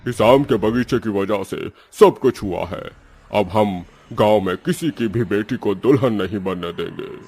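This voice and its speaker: A man's voice: lowish voice, unhurried, a little mellow, breathy edge. A man voicing the grieving, vengeful ghost of an old father in a cartoon horror story, brooding. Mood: bitter.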